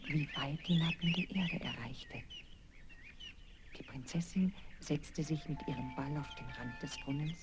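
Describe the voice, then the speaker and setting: A woman tells a children's fairy tale in a deep female register. Her delivery is measured and dramatic, with varied emphasis, and music plays clearly behind the voice. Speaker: narrator.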